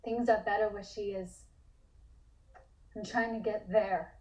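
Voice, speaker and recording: Woman playing a subdued, mellow young asylum patient. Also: medium pitch, fairly even intonation, unhurried, muffled recording, lightly acted. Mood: flat, withdrawn. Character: drugged, withdrawn young woman artist in an asylum.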